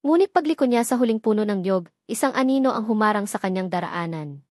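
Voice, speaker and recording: A woman narrating a dramatic love story with an even, dramatic storytelling delivery. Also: mid-range voice, fast talker, dry recording, clean studio sound.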